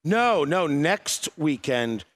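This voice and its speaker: A man with a lively, swinging pitch drops in on a live radio show. He ribs the hosts with deadpan wisecracks and talks quickly in loose banter. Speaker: visiting radio colleague.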